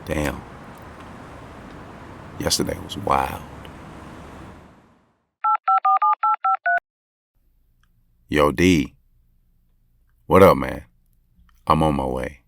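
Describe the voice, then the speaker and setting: A man's voice: extremely low pitch, steady tone, slow, gravelly, pauses often, fairly bright tone, full character voice. A male actor with an American accent playing a lovestruck, regretful basketball coach who confides in listeners in slangy, conversational audio drama narration. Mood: casual, excited. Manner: slangy, confessional, intimate. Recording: music playing under the voice. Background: music.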